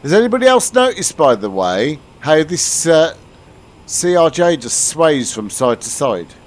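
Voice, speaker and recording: A man's voice: on the high side, sing-song, unhurried. A man from the UK live-streaming a flight simulator, chatting casually with his viewers and explaining the cockpit as he flies. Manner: chatty, answers viewers, frequent restarts. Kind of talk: live-stream commentary to chat.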